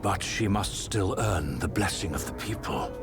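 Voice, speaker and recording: An actor playing a solemn, commanding older emperor in an animated game story, grave and deliberate. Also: male voice, low-pitched, over loud music.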